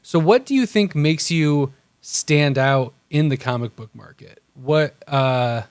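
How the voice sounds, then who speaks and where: A man's voice: a little high, takes it slowly, clear, resonant tone, dry recording. A man co-hosting a comic book podcast, chatting casually and enthusiastically affirming his guest. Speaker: podcast co-host. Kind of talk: casual interview chat.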